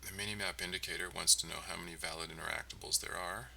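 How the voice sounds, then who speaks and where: Deep, gravelly man thinking aloud. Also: fairly even intonation, sharp tone, far from the mic, a little hiss or hum. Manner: halting, muttering to himself. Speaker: game developer livestreaming. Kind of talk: casual thinking-aloud coding commentary. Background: typing and mouse clicking.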